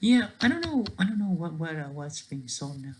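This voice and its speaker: Off-the-cuff commentary, very animated pitch swings, halting restarts, sarcastic edge, mid-range voice. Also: a little hiss or hum.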